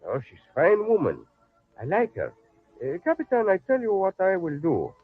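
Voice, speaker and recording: Hearty general, high swooping voice, radio drama on a tinny vintage recording. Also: male voice, huge pitch swings, normal speed, slightly rough, full character voice. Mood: hearty, eager. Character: hearty, obliging Mexican general. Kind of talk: old-time radio drama acting. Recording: tinny old radio recording, faint music.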